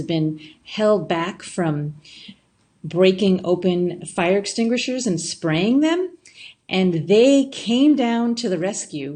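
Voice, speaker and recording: A woman in her fifties telling a friend a story for a recorded birthday tribute, relaxed, with fillers. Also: mid-range voice.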